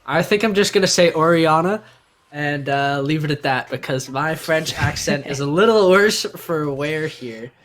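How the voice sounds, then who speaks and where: A man's voice: fairly high pitch, normal speed, fairly bright tone, slight background noise. A man giving live analytical commentary on an esports match in a conversational, explanatory way.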